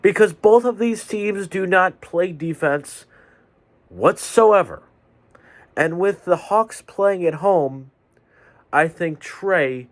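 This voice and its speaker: A man hosting a solo sports podcast from his bedroom, a little stuffed up, delivering picks in a measured, deliberate way. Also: a little high. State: stuffy nose. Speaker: podcast host. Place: home bedroom with fans running.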